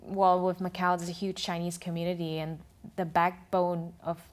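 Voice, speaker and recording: A woman's voice: lowish voice, flat delivery, moderate pace. A woman guest on a TV talk show, explaining her views in a thoughtful way with frequent restarts. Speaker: food and travel blogger, guest. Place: TV studio.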